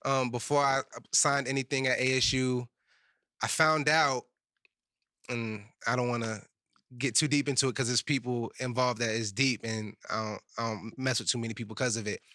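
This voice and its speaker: A man's voice: mid-range voice, fairly even intonation, normal speed, pushed and bright, dry recording, studio quality. A young man from Phoenix, a guest rapper, talking bluntly and emphatically in a casual podcast chat. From Phoenix, Arizona. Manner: blunt, emphatic, swears freely.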